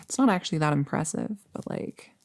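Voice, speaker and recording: A woman as a low, gravelly-edged chatty girlfriend. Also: animated, fairly quick, darkish tone, no echo. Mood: playful, nostalgic. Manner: casual, rambling, hesitant musing. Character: chatty, upbeat girlfriend about to become a vampire. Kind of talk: intimate ASMR audio roleplay.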